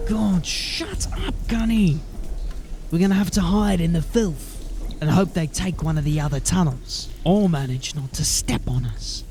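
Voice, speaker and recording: An actor playing a sardonic, coarse, bullying sewer rogue in a fantasy audio drama. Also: male voice, fairly high pitch, unhurried, a little mellow, a little hiss or hum. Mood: urgent, threatening. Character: gruff, bullying, sarcastic watchful sewer-crawling rogue, male.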